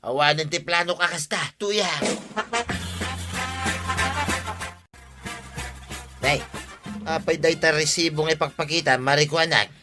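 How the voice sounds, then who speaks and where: A man acting an easily frightened village husband in an excitable, exclaiming way for an Ilocano comedy radio drama. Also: on the high side, normal speed, over loud music, close to an everyday voice. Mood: reluctant, uneasy.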